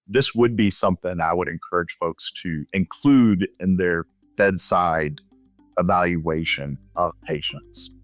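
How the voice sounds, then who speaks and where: A man speaking as a physician guest expert, explaining methodically in a measured, instructional way during a medical interview. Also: deep voice, a bit bright, dry recording, faint music behind.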